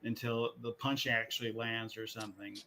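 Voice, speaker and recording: A man co-hosting a podcast, chatting casually and working through his points in a halting, rambling way with repeated phrases. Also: fairly low pitch, fairly even intonation, bright, forceful tone, slight background noise. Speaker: podcast co-host. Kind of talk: casual chat about video game movies.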